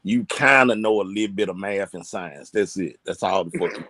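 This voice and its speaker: A man's voice: deep voice, normal speed, pushed and bright, no room sound. A man co-hosting a casual podcast, giving blunt, slangy advice and cursing freely. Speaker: podcast co-host.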